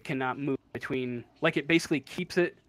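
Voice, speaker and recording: A man working as game master, improvising narration for a tabletop role-playing game in a halting way, searching for words. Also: medium pitch, a bit bright.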